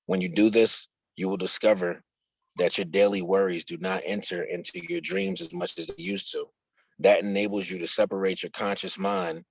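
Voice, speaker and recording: A man with a husky, gravelly voice reading a written instructional dialogue aloud. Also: deep voice, no echo, low-quality recording. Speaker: reader of a book chapter.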